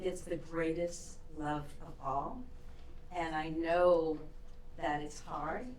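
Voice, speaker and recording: A woman in the audience asking an earnest question in a slow, halting way. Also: sits low. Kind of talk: audience question at a public event. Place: event hall. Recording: echoey hall from far off, faint noise.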